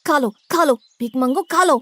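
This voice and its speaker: A woman's voice: high-pitched, normal speed, a bit bright, no room sound. A woman voicing a greedy, simple-hearted male Brahmin cook in a cartoon, with a blunt, complaining way of talking. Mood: grumbling, bossy. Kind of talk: Hindi cartoon moral-story acting.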